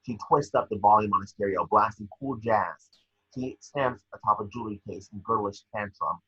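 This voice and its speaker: A man reading scene descriptions at an amateur table read, with a husky voice. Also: on the low side, moderate pace, pushed and bright, highs cut off.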